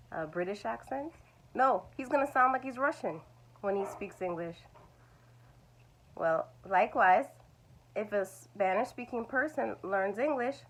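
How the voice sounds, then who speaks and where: A woman teaching a video lesson to language learners. She talks in a reassuring way and keeps coming back to the same comforting phrases. Her voice sits at an ordinary pitch for a woman. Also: fairly bright tone, low-quality recording. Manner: reassuring, repeats "it's okay". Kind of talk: talking-head video lesson.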